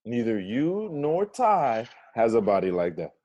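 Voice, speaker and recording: A man's voice: medium pitch, very animated, a little slow, warm tone, muffled recording. A man playing an incredulous, analytical housemate in a table read of a comedy script.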